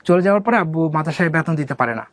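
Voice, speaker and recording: A young man from Bangladesh, an interview guest telling his life story softly and hesitantly, with many pauses and fillers. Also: on the high side, lively intonation, no room sound. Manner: soft-spoken, hesitant, many fillers and restarts.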